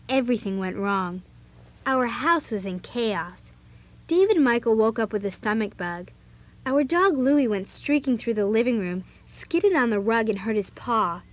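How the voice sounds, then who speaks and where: Woman playing animated, high-voiced bossy young girl. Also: lightly acted. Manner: expressive, emphatic, youthful. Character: bossy, exasperated young girl narrating her club story. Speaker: narrator and lead. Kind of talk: scripted audio drama, narration and dialogue.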